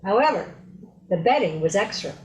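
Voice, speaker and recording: A woman's voice: medium pitch, expressive, moderate pace, heavy background noise. A woman reading scripted story narration in readers theater.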